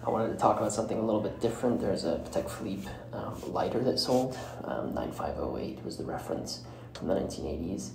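Very deep, gravelly male podcast host. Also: animated, a crowd in the background. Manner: halting, hesitant, often breaking phrases mid-way. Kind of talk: solo podcast commentary. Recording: roomy echo with clear background noise.

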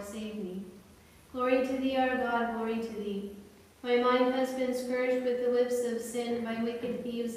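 A woman's fairly high, even voice delivers liturgical prayer with measured solemnity. She is heard from a distance in a large hall, with long, heavy reverberation around every phrase. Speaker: church reader and chanter. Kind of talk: liturgical reading and chanting. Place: large reverberant indoor space. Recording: echoey church-like hall, distant microphone, faint noise.

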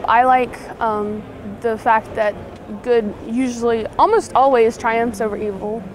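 A woman's voice: fairly high pitch, unhurried, noisy background. A woman speaking earnestly and reflectively in a casual interview.

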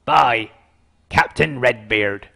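A man playing a gruff, gravelly pirate captain reading a letter in a children's cartoon story. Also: medium pitch, takes it slowly, sharp tone, heavily acted. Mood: gruff apology.

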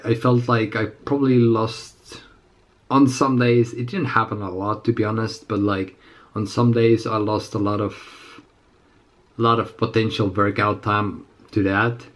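A man in his twenties with a slightly raspy, under-the-weather voice, chatting casually to camera as a fitness vlogger in a halting, rambling way. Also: lowish voice. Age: 20s. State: slightly sick. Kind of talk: casual unscripted chat to camera. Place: small indoor room with plain walls.

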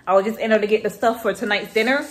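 A woman in her thirties chatting casually to a phone camera in her home kitchen, relaxed and teasing. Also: medium pitch, racing. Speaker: vlogger and mother. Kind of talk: casual home vlog chat. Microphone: phone camera held at arm's length.

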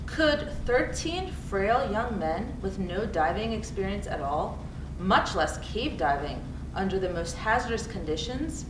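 A woman's voice: mid-range voice, lively intonation, a little slow. A woman in her thirties reading a narrative aloud from a book. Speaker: reader in a school community read-aloud. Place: indoors, seated before a cave backdrop. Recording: roomy, distant mic with clear background noise.

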